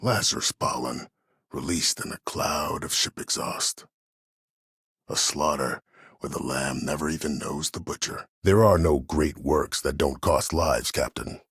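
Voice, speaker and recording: Game villain: disgraced old military schemer, extremely low husky voice, coldly self-justifying, formal and imperious. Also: male voice, a bit bright, no room sound, studio quality, heavily acted. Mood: cold, righteous. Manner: measured, formal, imperious, calculating. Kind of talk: dramatic game dialogue.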